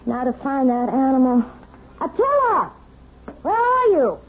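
An actress playing a genteel woman with a sinister secret, speaking sweetly with an eerie calm, in a 1970s radio drama. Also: high voice, measured pace. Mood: cooing. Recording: lo-fi 1970s radio broadcast with hiss.

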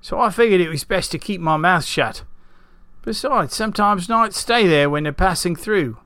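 Old peasant farmer, acted by a male narrator, wary and bristly, sputtering rustic talk, higher voice, dry recording. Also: animated, moderate pace, sharp tone, dry recording. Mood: defensive. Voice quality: sputtering through missing teeth. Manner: rustic, colloquial speech.

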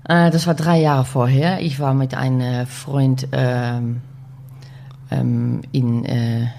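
A Dutch woman in her forties with a Dutch accent, a podcast guest speaking halting German, searching for words with many fillers. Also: very deep voice, fairly even intonation, vocal fry, mellow, slight background noise. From Netherlands. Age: 40s. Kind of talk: casual interview chat.

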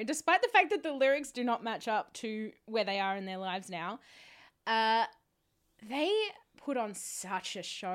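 Bright, enthusiastic woman podcaster. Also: medium pitch. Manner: enthusiastic, sweary, emphatic. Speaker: podcast host. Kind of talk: casual comedic podcast chat.

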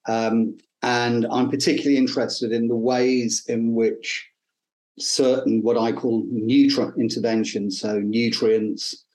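A man's voice: mid-range voice, little pitch movement, darkish tone, rough recording. A middle-aged man with an English accent giving thoughtful, hesitant answers as an academic interview guest. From north and Midlands of England, UK. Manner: hesitant, thoughtful, measured explaining. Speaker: guest, neuroscience professor.

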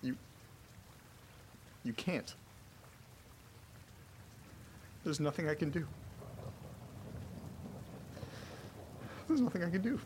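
A man playing a frightened character trapped in a haunted house, muttering to himself haltingly and swearing in a horror audio drama. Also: on the high side, sing-song, a little mellow, slight background noise. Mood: despairing.

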